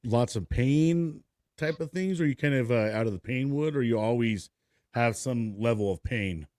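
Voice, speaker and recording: A laid-back man in his forties hosts a casual podcast, loose and slangy with casual swearing. His voice is at an ordinary pitch and sounds dry and close. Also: moderate pace, a little mellow, dry recording. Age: 40s. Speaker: podcast host.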